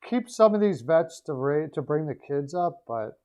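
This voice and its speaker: A man hosting a live hockey chat stream, hoarse and losing his voice, talking in a low-energy, hesitant way. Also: animated, normal speed, darkish tone. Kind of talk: casual hockey chat with viewers. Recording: dull lo-fi stream audio.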